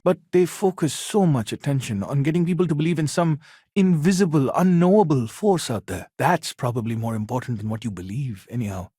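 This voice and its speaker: A man voicing an earnest, patient counselor in a video game, talking in a measured, reasoned and reassuring way. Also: medium pitch, dark tone, slightly rough, no room sound, clean studio sound. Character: earnest, patient male counselor at a secular community house.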